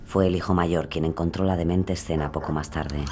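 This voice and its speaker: A man giving grave, measured scripted narration of a true-crime story. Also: low voice, fairly even intonation, background noise.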